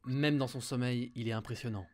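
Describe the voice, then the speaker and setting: A man's voice: medium pitch, fairly even intonation, fairly quick, close to an everyday voice. A man playing a knowledgeable, wry and hesitant mage in an amateur audio fiction. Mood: uneasy.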